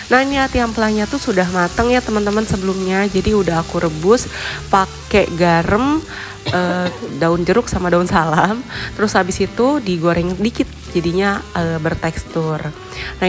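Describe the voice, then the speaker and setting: Homemaker vlogger, young woman, nasal and hoarse from a cold, chatty with small laughs, music bed underneath. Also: fairly low pitch. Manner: chatty, friendly, with little laughs and fillers. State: stuffy nose and hoarse from a cold. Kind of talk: casual voice-over narration. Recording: voice-over with clear background music.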